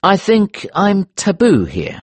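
A man's voice: sits high, wildly swooping pitch, takes it slowly, breathy edge, no room sound, pitched above the performer's own voice, full character voice. A man voicing an indignant, impatient British male trader in an audio story, speaking emphatically. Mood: worried.

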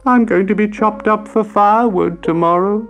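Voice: high voice, little pitch movement, a little mellow, voice pushed up. A narrator voicing a mournful old talking piano in a children's storybook, wailing and groaning plaintively. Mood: distressed. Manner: plaintive, drawn-out.

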